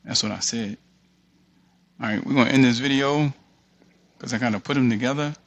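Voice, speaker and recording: An American man casually thinking aloud through a screen-share software tutorial, reading bits of text and muttering asides to himself. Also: medium pitch, fairly quick, dull audio.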